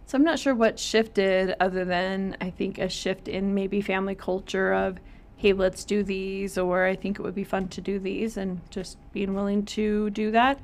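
Middle-aged female co-host, counselor type, reflective and measured in casual chat. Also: medium pitch, steady tone.

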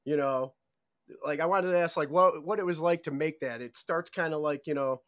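A middle-aged man hosts a podcast in a relaxed, conversational way. His questions wander and he fills the gaps with "you know." The recording is close and dry, with no room sound. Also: a little high, moderate pace, dry recording. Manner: loose, rambling questions with "you know" fillers. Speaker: podcast host. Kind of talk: casual interview chat.

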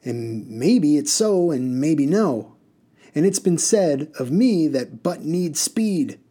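A man's voice: a little high, very animated, takes it slowly, voice pushed up, exaggerated voice. A man doing a gleeful, bellowing reckless driver. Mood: bellowing riddles. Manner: loud, bellowing, sing-song, rambling riddles. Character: reckless gleeful male bus driver, boastful.

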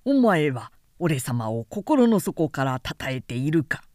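Woman putting on a pompous, lowered-voice vain man. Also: very animated, slow, a little mellow, no room sound, studio quality, full character voice. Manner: boastful, self-important.

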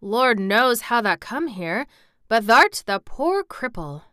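A woman voicing a gruff old Yorkshire gardener man, hoarse and quavering, in a storybook reading. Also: a little high, big pitch swings, slow pace, bright, forceful tone, no room sound, clean studio sound, exaggerated voice. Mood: shaken, incredulous.

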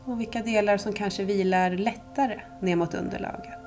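A woman leading a guided yoga nidra meditation as instructor, calm, soothing and unhurried, with long pauses. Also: medium pitch, over music.

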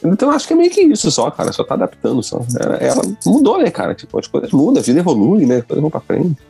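Brazilian man, quick rambling podcast banter, mellow voice. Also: a little high, huge pitch swings, creaky, husky, soft background music. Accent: Brazilian Portuguese. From Rio de Janeiro, Brazil. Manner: chatty, rambling, filler-heavy. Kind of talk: casual chat.

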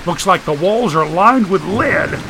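A man voicing a brash, folksy, excitable wisecracker in an audiobook story. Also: high-pitched, unhurried, creaky, noisy background, voice pushed up, exaggerated voice. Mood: curious, amazed. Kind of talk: audiobook character voice.

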